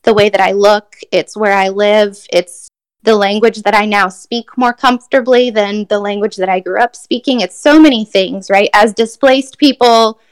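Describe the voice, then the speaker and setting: A woman's voice: medium pitch, steady tone, normal speed, smooth. A woman poet from Brazil giving a reflective, thoughtful interview answer, with many fillers and restarts.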